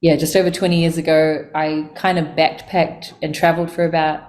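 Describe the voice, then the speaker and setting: A woman in her forties from Samoa and New Zealand, talking in a relaxed, thoughtful way with frequent pauses as an interview guest. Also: sits low, a little hiss or hum. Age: 40s. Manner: relaxed, thoughtful, many ums. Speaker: chocolate maker guest. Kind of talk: casual interview talk.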